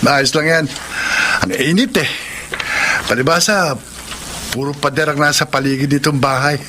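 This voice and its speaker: A man's voice: on the high side, normal speed, background noise, close to an everyday voice. A man playing a patient, grateful young husband in a Tagalog radio drama, with a reassuring conversational delivery. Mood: warm, grateful.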